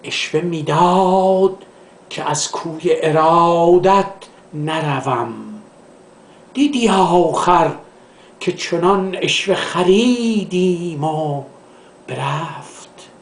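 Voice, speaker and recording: A man from Iran, a literature professor, recites classical poetry in a measured, declamatory way, drawing out the line endings. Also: a little high. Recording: echoey, reverberant room sound.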